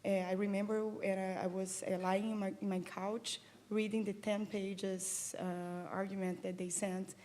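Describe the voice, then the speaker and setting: A woman co-producer answering on a panel in an earnest, halting way, often restarting her sentences. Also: mid-range voice, level tone, a little mellow. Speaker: film co-producer.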